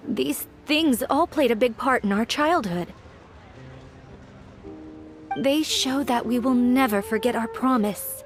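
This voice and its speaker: A gentle young lantern maker, speaking in a slightly high voice. She is sincere and sentimental, with soft game music under her. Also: slow, pauses often. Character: young woman, gentle lantern-making artisan. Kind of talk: video game voice acting.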